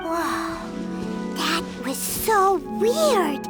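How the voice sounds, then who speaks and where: A woman's voice: very high voice, very animated, very slow, loud music behind the voice, full character voice. A woman voicing a small, childlike, wide-eyed companion in a video game. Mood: amazed. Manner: childlike, wondering.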